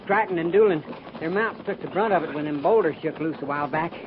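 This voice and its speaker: An actor playing a dutiful, rough-hewn cavalry corporal with a folksy manner in a 1950s radio western. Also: male voice, on the high side, fast talker, lightly acted. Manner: folksy, ungrammatical, deferential. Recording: muffled, clearly noisy 1950s radio recording.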